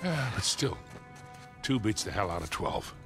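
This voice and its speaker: An actor playing a seasoned, sardonic older treasure hunter with an American accent and a dry delivery. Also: male voice, lowish voice, big pitch swings, measured pace, music in the background. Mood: weary, matter-of-fact. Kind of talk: naturalistic video game acting.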